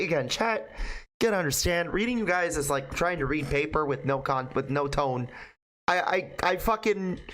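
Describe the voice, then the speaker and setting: A game streamer chatting casually to viewers and reading aloud, informal and profane, with a halting delivery. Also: medium pitch, a bit bright. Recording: music behind the voice. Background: music.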